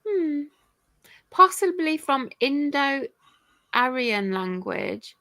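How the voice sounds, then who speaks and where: A woman's voice: sits high, sing-song, extremely slow, bright, forceful tone, dry recording. A woman in her forties from the UK chatting casually on a live stream, laughing often and swearing now and then. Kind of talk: casual chat.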